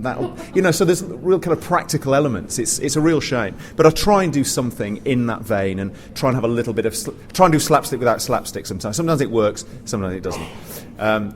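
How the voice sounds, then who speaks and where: A man's voice: mid-range voice, a little hiss or hum. A man talking casually as a panelist, rambling and often breaking off his own sentences, at a live theatre discussion. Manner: rambling, self-interrupting. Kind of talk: casual, conversational panel talk.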